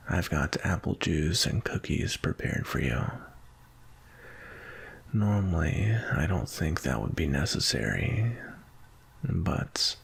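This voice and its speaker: Guy doing a sexy gentle vampire for asmr, super crackly low voice, breathy and soothing, takes his time. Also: exaggerated voice. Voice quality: breathy, husky, half-whispered. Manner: slow, soothing, sensual, drawn-out. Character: seductive male vampire, gentle, attentive lover feeding. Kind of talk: intimate ASMR roleplay.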